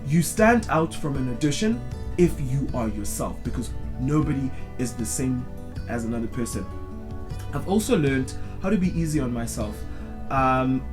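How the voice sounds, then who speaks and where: Broad-voiced South African man vlogging. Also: medium pitch. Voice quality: big, broad voice. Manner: expressive, talks a lot, with many restarts. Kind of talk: candid personal vlog monologue. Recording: music playing clearly behind. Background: guitar music.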